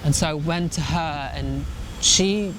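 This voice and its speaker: A man's voice: a little high, a little slow, crowd noise. A young man from India in his twenties, talking earnestly and thoughtfully in a casual interview, often restarting his sentences. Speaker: musician guest. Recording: noisy outdoor recording.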